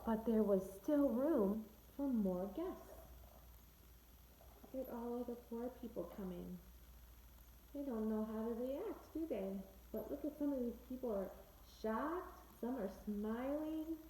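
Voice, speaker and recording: A woman reading a story aloud to children in a teacherly manner, pausing to prompt them with simple questions. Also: on the high side, lively intonation, dark tone. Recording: echoey room with faint background noise.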